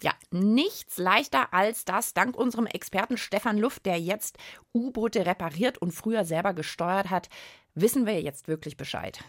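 A woman's voice: medium pitch, moderate pace, dry recording, clean studio sound. A woman co-hosting a children's science podcast in a casual, curious style.

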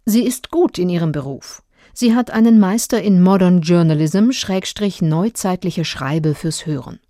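A woman reading literary excerpts deadpan, in the register of a newsreader. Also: lowish voice, unhurried, dry recording, clean studio sound. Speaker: reader of book excerpts.

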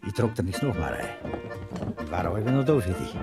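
An actor playing a scheming, jealous village suitor with a Bavarian accent, sly and insinuating. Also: male voice, low-pitched, very animated, fairly quick. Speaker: actor in a radio play. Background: folk music.

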